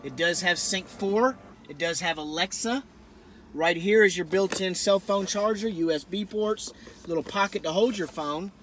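Middle-aged man, high-pitched, informal car-walkaround presenter, recorded on camera in a truck cab with some background noise. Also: normal speed, fairly bright tone. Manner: folksy, informal. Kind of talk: casual vehicle walkaround. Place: inside a pickup truck cab in a parking lot. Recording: camera audio with cabin noise.

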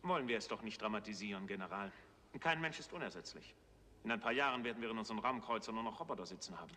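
An officious staff officer in a 1960s space series. He speaks quickly with lively pitch and a bright edge, in a reverberant old TV recording. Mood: placating. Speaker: actor. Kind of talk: 1960s German TV science fiction acting. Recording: reverberant, noisy 1960s TV soundtrack.

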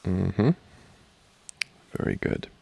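A man playing an amorous, giggly student boyfriend in an intimate ASMR roleplay, talking haltingly and teasingly close to the mic. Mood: relaxed, distracted. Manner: halting, soft-spoken, chuckling, teasing. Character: amorous, giggly young male student boyfriend trying to study. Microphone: close microphone, dry.

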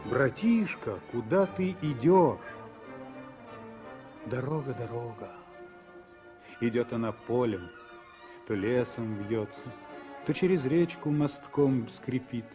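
A man narrating a 1960s radio drama as a lyrical, expressive storyteller with measured phrasing. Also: mid-range voice, over music. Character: lyrical male storyteller of a civil war tale.

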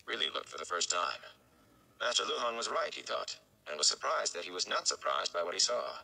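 Male reader, thirties, dramatic storybook narration: low pitch, gravelly creak, forceful delivery. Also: very animated, brisk, rough recording. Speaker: read-along reader. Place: indoor room at home.

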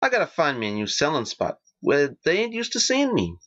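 A woman's voice: low-pitched, huge pitch swings, fairly quick, dull audio, voice pushed down, heavily acted. A woman voicing a sweet, earnest teenage newsboy with a limp who speaks plaintively in a storybook reading.

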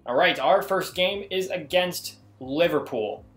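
A man's voice: on the high side, measured pace, very noisy. A man giving casual, exclamatory gameplay commentary as a video host, often putting himself down. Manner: self-deprecating, exclamatory.

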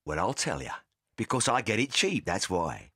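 A man's voice: fairly low pitch, big pitch swings, normal speed, a bit bright, slightly rough, no room sound, clean studio sound, heavily acted. An actor playing a crooked, boastful, bullying middle-aged father with a colloquial English accent in a dramatised audiobook. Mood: smug boasting. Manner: blustering, scornful, colloquial.

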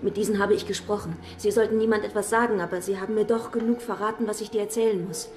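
An actress playing a shy, earnest, devout young mountain girl, breathy and hushed, in a radio drama. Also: medium pitch, fairly even intonation, fast talker, close to an everyday voice.

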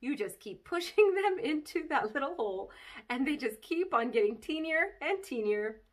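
A woman voicing a silly, excitable, mischievous little girl telling her story from a children's storybook, in a dramatic, bubbly manner. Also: high-pitched, normal speed, pitched above the performer's own voice. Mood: gleeful. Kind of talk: expressive children's storybook read-aloud.

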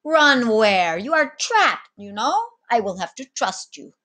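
A woman reading as a sly, slow-talking sea captain. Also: a little high, big pitch swings, fairly bright tone, pitched above the performer's own voice, exaggerated voice. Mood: teasing. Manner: theatrical, tag questions like "eh" and "no?". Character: sly, commanding male sea captain, playful and worldly. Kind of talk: storybook read-aloud dialogue.

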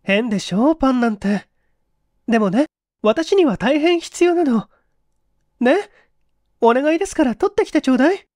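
A woman's voice: high voice, lively intonation, normal speed, pauses often, darkish tone, dry recording, studio quality. A woman playing a polite, composed young lady with a secret, pleading in refined feminine speech in a mystery audio drama.

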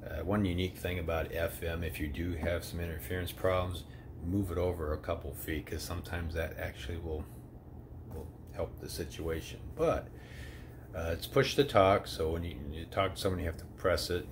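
A man's voice: sits low, little pitch movement, normal speed, background noise. A middle-aged man giving a casual, rambling product review at home, with plenty of "uh"s. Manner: easygoing, rambling with many "uh"s. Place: indoors at home.

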